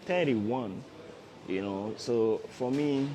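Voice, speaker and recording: A man's voice: medium pitch, slow, noisy background. A man in his thirties, a forex trader hosting a casual livestream, who repeats himself and coaxes viewers directly. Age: 30s. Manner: repetitive, coaxing, addresses viewers directly. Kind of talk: casual motivational livestream talk.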